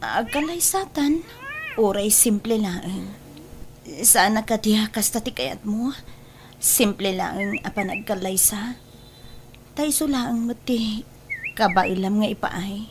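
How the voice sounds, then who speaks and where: A woman's voice: mid-range voice, takes it slowly, pauses often, slight background noise. A woman acting an anxious, pleading daughter in an audio drama, with a Filipino accent. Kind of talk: Ilocano radio drama acting.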